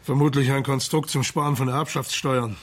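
An actor playing a pensive, sceptical police marshal in a radio crime drama, thoughtful and questioning. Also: male voice, mid-range voice, fairly even intonation, normal speed, lightly acted.

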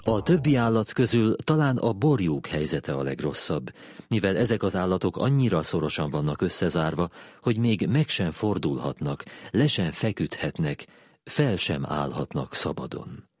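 Man, deep register, scripted narration, essay reading, dry close recording. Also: very animated, darkish tone, breathy edge, no room sound. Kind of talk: scripted narration, read aloud.

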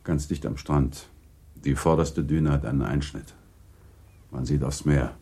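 A grave gentleman of a literary radio play, with an extremely deep voice. The tone is dark and somewhat husky. He speaks courteously and formally, measuring his words with quiet resignation. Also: steady tone, unhurried, heavily acted. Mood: sober, moved. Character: grave, courteous gentleman, confidant and second in a duel. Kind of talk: German radio drama acting.

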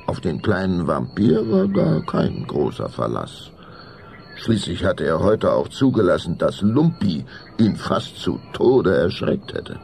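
Deep gravelly male storyteller. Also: darkish tone, slight background noise. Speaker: narrator. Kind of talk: scripted storytelling narration for a children's radio play.

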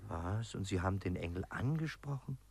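An actor in a 1950s radio play playing a worldly, teasing artist who banters in a knowing, theatrical manner. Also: male voice, low voice, animated, moderate pace, gravelly, a little mellow, breathy edge, heavily acted.

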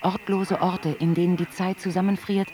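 A man's voice: high voice, level tone, moderate pace, background noise, natural voice. A man playing a clipped narrator listing images in a radio drama, with a crowd behind. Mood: urgent. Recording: radio play over crowd bustle.

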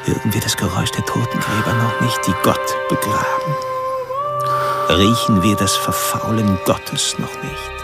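Brooding philosophical declaimer, lowish gravelly voice, solemn, rhetorical, radio play, loud music. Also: male voice, fairly even intonation, a little slow. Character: grave, brooding man proclaiming apocalyptic philosophy. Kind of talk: radio drama acting.

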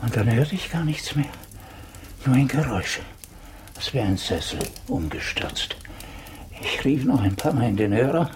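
An actor playing an agitated doctor in a German radio drama, speaking excitably in hurried, clipped phrases. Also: male voice, medium pitch, close to an everyday voice. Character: agitated doctor breathlessly reporting an alarming call. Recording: faintly noisy 1980s radio drama recording.

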